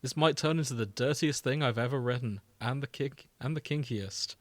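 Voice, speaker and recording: A man's voice: medium pitch, moderate pace. A man co-hosting a comedic podcast, chatting casually and bantering with playful mock indignation. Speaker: podcast co-host. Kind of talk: casual comedic chat, reading out fan fiction tags.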